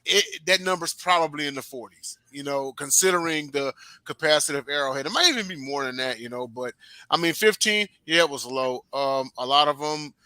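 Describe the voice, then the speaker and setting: A man's voice: a little high, expressive, brisk, sharp tone, no echo. A man from Houston on a sports talk panel, chatty and joking about himself, with plenty of fillers. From Houston, Texas. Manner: chatty, self-deprecating humor, lots of fillers.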